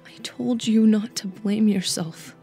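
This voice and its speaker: A woman's voice: medium pitch, steady tone, measured pace, mellow, breathy edge, soft background music. A woman playing a guilt-ridden, reserved teenage cat-eared girl in an audiobook, speaking in a subdued, pleading manner. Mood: ashamed, shaky. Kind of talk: audiobook fan fiction dialogue.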